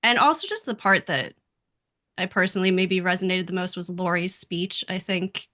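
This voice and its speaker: A woman speaking as a podcast guest in casual chat, reflective and measured in manner. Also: lowish voice, sharp tone.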